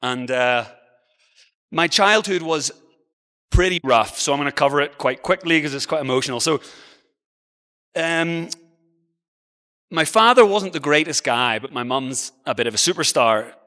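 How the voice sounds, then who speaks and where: Irish male speaker, early 40s, for a conference talk: bright, forceful voice, informal and self-mocking, heard in a big echoing hall. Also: fairly high pitch, animated, moderate pace, long pauses. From Ireland. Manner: informal, self-deprecating, conversational. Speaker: travel blogger and guest speaker. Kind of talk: motivational conference talk, personal storytelling. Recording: reverberant hall, long echo.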